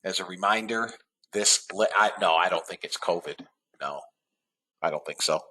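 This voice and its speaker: A man's voice: sits low, moderate pace, bright, forceful tone. A man hosting a live trading webinar, sounding nasal from a head cold and talking in a rambling way with lots of restarts. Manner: rambling, frequent uh fillers and restarts. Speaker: trading room host.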